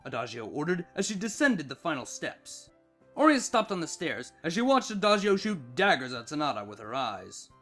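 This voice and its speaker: A narrator reading fan fiction aloud in an expressive scripted storytelling style. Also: medium pitch, normal speed, faint music behind.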